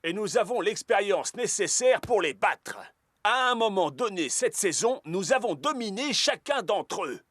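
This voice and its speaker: An actor playing a confident, spirited footballer in a French cartoon dub. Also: male voice, high voice, sing-song, unhurried, fairly bright tone, no echo, studio quality, exaggerated voice. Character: confident, spirited male footballer rallying teammates.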